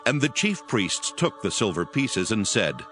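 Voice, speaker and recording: A man narrating scripture in a steady, formal reading style. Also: fairly low pitch, measured pace. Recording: narration over music.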